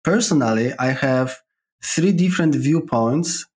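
A man's voice: mid-range voice, lively intonation, measured pace, a little mellow. A man from Poland with a Polish accent, a podcast guest who explains his views with examples on a call. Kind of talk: casual interview chat. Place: remote call.

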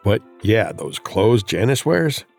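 A gossipy corporate colleague in a satirical audio drama. He is low, husky and gravelly, with a dry, sardonic, brisk delivery. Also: very animated, faint music behind, full character voice. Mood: sardonic, sneaky.

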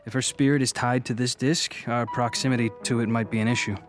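A man playing a calm, analytical character with a measured, matter-of-fact delivery in a radio drama. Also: mid-range voice, soft background music, lightly acted. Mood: grave.

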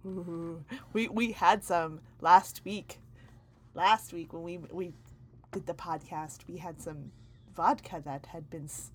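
A woman's voice: on the low side, steady tone, slight background noise. A woman co-hosting a podcast, riffing in bubbly casual chat. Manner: bubbly, riffing, quick laughs. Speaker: podcast co-host.

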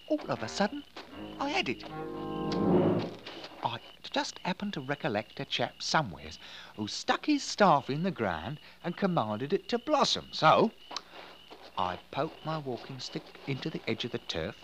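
A bumbling clerk fumbles over his words in a folksy, hesitant way. His pitch leaps wildly from line to line, and faint music plays beneath this old radio play. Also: wildly swooping pitch, measured pace, long pauses, low-quality recording. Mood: wondering. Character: bumbling timid English clerk who works miracles. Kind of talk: 1950s radio drama acting.

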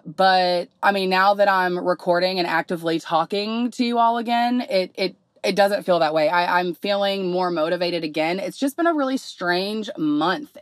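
A young woman, slightly hoarse, hosting her podcast in candid, rambling casual talk full of fillers. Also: mid-range voice, dry recording, studio quality. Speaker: podcast host.

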